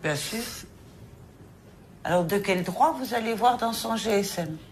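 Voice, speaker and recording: A woman's voice: medium pitch, unhurried, a little hiss or hum. A woman prosecutor, questioning a suspect firmly in her office. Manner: stern, firm, pointed questions.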